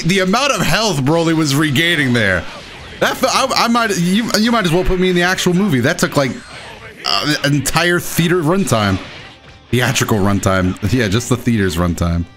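A young man commentates on his own video game play. His voice sits a little high and swings widely in pitch as he exclaims and pokes fun at himself. Music plays behind him. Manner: excitable, exclaiming, self-deprecating. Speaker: gaming video host. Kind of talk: live commentary over own gameplay.

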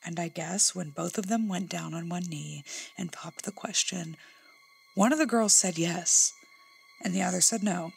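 A woman's voice: lowish voice, normal speed, creaky, husky, soft background music, heavily acted. A woman playing a pushy, superstitious, teasing cousin in a horror audio drama. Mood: ominous, gossipy.

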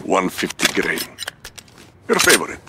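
A man's voice: sits low, sing-song, a little slow, creaky, heavy background noise, heavily acted. A man playing a middle-aged Russian arms fixer in a video game, wry and laid-back. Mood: dry. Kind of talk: cinematic video game acting.

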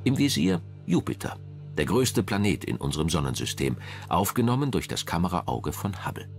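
Man, documentary narrator, low voice, lively intonation, dramatic measured delivery, music behind. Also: gravelly.

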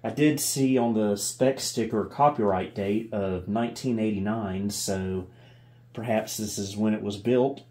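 A man's voice: on the low side, a little slow, mellow. A man giving casual hands-on commentary as a video host, thinking aloud and often unsure. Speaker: host of a vintage computer video channel. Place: indoors in a home room.

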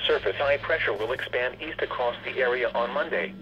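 Synthetic male weather radio voice, clearly noisy. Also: medium pitch, a little fast, muffled recording. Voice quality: synthetic, stilted. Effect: radio speaker. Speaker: automated weather radio announcer. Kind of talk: formal scripted weather forecast.

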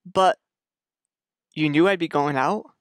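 An actor playing a loyal but incredulous friend in a radio mystery, excitable and wry. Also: male voice, fairly high pitch, slow, dry recording, studio quality. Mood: uneasy. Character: incredulous, loyal friend drawn into grave robbing. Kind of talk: radio mystery drama acting.

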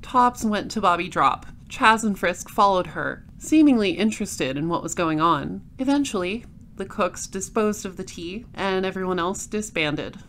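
A woman playing a patient, composed detective, narrating an audio drama in a measured, wry way. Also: mid-range voice, animated, fairly bright tone, slight background noise. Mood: dry narration. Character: composed, patient female space-patrol detective narrating her case.

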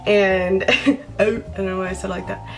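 A woman's voice: medium pitch, fairly even intonation, moderate pace, gravelly, soft background music, low-quality recording. A young woman from Brazil, Portuguese her first language, talking casually to her camera in a bedroom, rambling and laughing often. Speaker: vlogger. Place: bedroom by a window, indoors.

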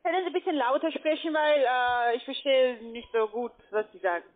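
High-voiced pushy woman with a foreign accent. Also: fairly quick. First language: not German. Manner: pushy, blunt. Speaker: call center sales agent. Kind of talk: phone sales pitch. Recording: tinny narrow phone line.

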